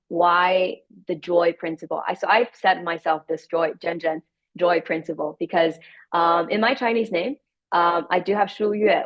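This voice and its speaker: A young woman from Hungary speaking as a student panelist, enthusiastic and full of hedging fillers. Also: fairly low pitch. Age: young adult. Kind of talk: panel talk and self-introduction. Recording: dull, muffled lo-fi audio.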